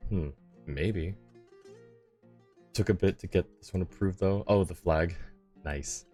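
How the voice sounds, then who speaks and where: A laid-back male game streamer with a very deep voice. He chats casually and reads dialogue aloud in a low-key manner, with faint game music behind him. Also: slow, dark tone.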